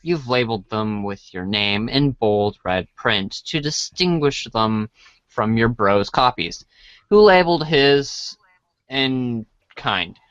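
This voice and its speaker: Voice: medium pitch, expressive, takes it slowly, fairly bright tone, smooth, no echo, highs cut off. A person reading a comic script aloud as storybook narration, sometimes stumbling over lines and apologizing. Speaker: reader of a read-along storybook show.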